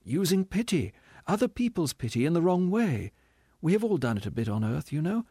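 Gentle pleading woman, soft and raised in pitch, as a male reader puts her on, dry sound. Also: big pitch swings, fairly quick, breathy edge, no echo, exaggerated voice. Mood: earnest. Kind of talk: audiobook character reading.

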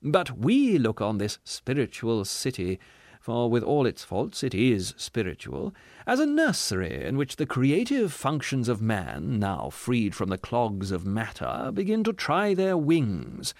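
A man voicing a fat, smug, cultured gentleman from a storybook, speaking in a pompous, refined and condescending way. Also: mid-range voice, wildly swooping pitch, measured pace, dry recording, clean studio sound. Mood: lofty, rhapsodic.

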